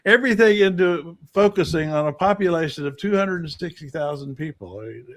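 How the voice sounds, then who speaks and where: A man hosting his own podcast, talking casually with guests, halting with many restarts and fillers. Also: fairly high pitch, animated, a bit bright. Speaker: podcast host. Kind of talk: casual panel chat.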